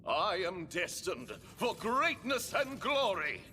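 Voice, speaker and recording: An actor voicing a pompous, boastful heroic adventurer with grandiose delivery in a fantasy video game. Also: male voice, high voice, big pitch swings, slow pace, bright, forceful tone, in a large hall, a little hiss or hum, exaggerated voice.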